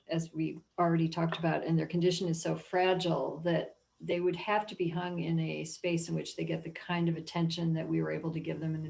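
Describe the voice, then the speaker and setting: A woman with a slightly low, noticeably creaky voice. She talks informally as a museum curator taking part in a panel discussion. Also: a little mellow, low-quality recording.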